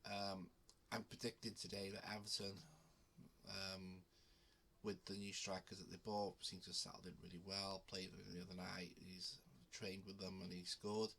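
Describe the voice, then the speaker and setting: A man's voice: low-pitched, monotone, moderate pace, gravelly, sharp tone, slight background noise. A man with a cold hosting his betting video, talking casually with frequent ums and restarts. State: has a cold. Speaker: betting channel host. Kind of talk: casual talk to camera.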